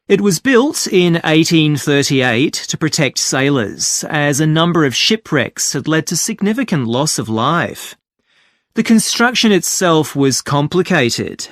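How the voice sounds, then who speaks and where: A cheerful male tour guide with a fairly high voice. He takes his time and addresses his passengers clearly and in a friendly way, as in a scripted listening exercise. The sound is dry and close. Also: fairly bright tone, no echo. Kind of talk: scripted exam listening talk.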